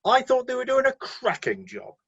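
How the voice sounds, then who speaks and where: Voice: sits high, wildly swooping pitch, moderate pace, creaky, a bit bright, slightly rough, muffled recording, full character voice. An actor playing a loyal, defensive celestial middle manager in a satirical radio play, breezily making corporate excuses and sounding mildly flustered. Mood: surprised.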